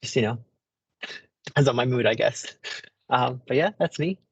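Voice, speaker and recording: A speaker from the Middle East explaining terms on a video call, pausing often with ums and restarts. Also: male voice, mid-range voice, creaky, husky, no echo. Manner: hesitant, many ums and restarts. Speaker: panel speaker. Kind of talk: explanatory talk.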